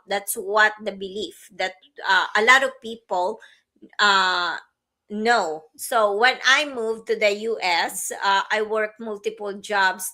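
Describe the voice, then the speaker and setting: A woman from the Philippines co-hosting a live stream, chatting slowly with many fillers and restarts. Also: medium pitch. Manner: slow, warm-hearted, frequent fillers and restarts. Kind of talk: casual livestream chat. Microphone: livestream setup.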